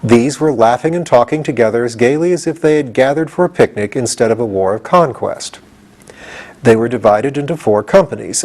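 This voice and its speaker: A man's voice: medium pitch, darkish tone. A man reading a storybook aloud as scripted audiobook narration. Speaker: audiobook reader.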